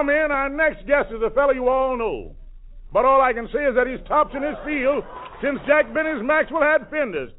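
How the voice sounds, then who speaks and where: High-voiced American man, jive-talking radio emcee. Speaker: radio variety show host. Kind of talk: 1940s radio variety emcee patter. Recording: tinny, band-limited 1940s radio recording.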